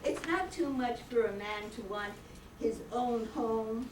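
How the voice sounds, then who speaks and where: An older woman playing a tired, gentle, principled father in an amateur radio play, measured and earnest. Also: fairly high pitch, lightly acted. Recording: echoey, distant room, faint noise.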